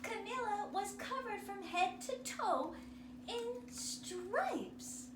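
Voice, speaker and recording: A high-voiced woman reads a children's story aloud like a drama teacher. Her delivery is theatrical and very animated, with slow, emphatic phrasing. The sound is echoey. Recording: roomy, distant mic, noisy background.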